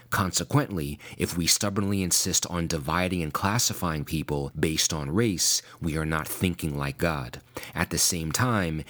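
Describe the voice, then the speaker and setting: A man from New York preaches in a very deep, low voice. His delivery is measured and emphatic, like a scripted sermon. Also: fairly bright tone. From United States (New York).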